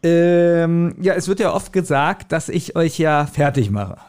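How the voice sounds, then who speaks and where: A man with a German accent chatting as a podcast co-host in a halting, joking manner. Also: on the high side, animated, a little mellow, smooth. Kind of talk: casual joking podcast chat.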